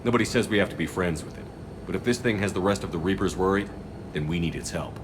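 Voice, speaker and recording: A man plays a decisive military commander in a sci-fi game, giving clipped orders. His voice is very low, with reverb and steady background noise. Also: fast talker, close to an everyday voice. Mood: commanding.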